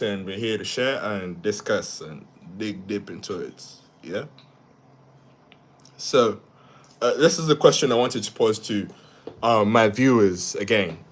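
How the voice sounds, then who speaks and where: Halting man hosting a live stream. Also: fairly low pitch. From Uganda. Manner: halting, repeats words and restarts often; asks "yeah?" after each point. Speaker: livestream host. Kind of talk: live stream talk reading comments.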